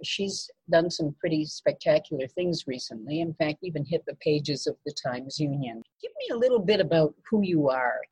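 A woman hosts a radio magazine interview and asks curious, friendly questions. Her voice is breathy, and the sound is dry and close with no room around it. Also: low-pitched, expressive, normal speed, hardly any pauses, darkish tone, no room sound, low-quality recording. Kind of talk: interview hosting.

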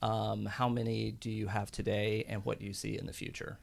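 A man asking formal interview questions in a polite, measured way. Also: on the low side, level tone, gravelly.

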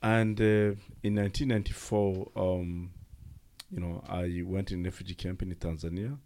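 A middle-aged man from Rwanda with a Rwandan accent, a non-native English speaker, telling his own story haltingly in an interview. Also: low-pitched, steady tone, darkish tone. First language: not English. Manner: halting, pauses often. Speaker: interviewee, former refugee. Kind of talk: personal account in an interview.

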